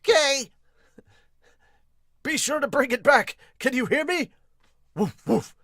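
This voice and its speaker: A man's voice: very high voice, animated, slow pace, pushed and bright, no echo, studio quality, pitched above the performer's own voice, full character voice. A man voicing a goofy stoner cartoon slacker as a pirate hero, drawling with "like" in a cracking, strained voice. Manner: drawling, peppered with "like".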